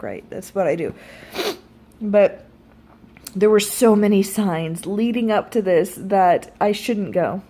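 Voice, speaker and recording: A woman in her early thirties talks casually, like a vlogger catching up with viewers. Her voice sits at a medium pitch, and her delivery is animated and wry. She pokes fun at herself and swears freely. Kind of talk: casual storytelling chat to viewers.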